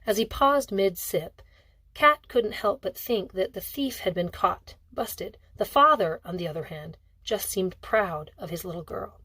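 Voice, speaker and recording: A woman's voice: mid-range voice, animated, unhurried, sharp tone, breathy edge, no room sound. A woman reading a novel aloud as scripted audiobook narration. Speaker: audiobook reader.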